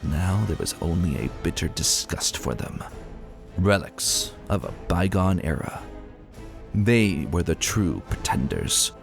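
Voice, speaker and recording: A man with a fairly low voice narrates a scripted story in a solemn, theatrical way. His delivery is that of a dramatic reading. Music plays behind his voice. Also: animated, takes it slowly, creaky. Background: background music.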